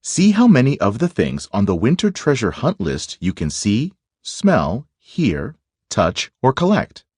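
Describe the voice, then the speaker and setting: A man reading a children's nonfiction picture book aloud, phrasing it deliberately for young listeners. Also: medium pitch, huge pitch swings, darkish tone, husky, dry recording, studio quality.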